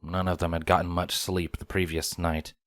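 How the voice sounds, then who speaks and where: A man reads scripted story narration in a low voice. The recording is close and dry, with no room sound around him. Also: little pitch movement, moderate pace, a bit bright, dry recording.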